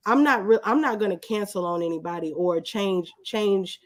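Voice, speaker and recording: A woman's voice: mid-range voice, normal speed. A woman in her thirties talking casually as an interview guest, in a candid, humble, reflective way. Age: 30s. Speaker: guest, videographer.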